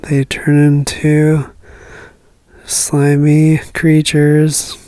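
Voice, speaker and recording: A radio host signing off a show late at night, hoarse and losing their voice, rambling and self-deprecating as they trail off. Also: medium pitch, completely flat delivery, drawn-out words, warm tone, no echo. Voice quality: froggy.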